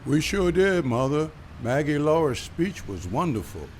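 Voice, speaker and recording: A man's voice: a little high, very animated, a little slow, a little hiss or hum. A man reading the part of a caring, dutiful grown son in a table read, in an earnest, reassuring manner.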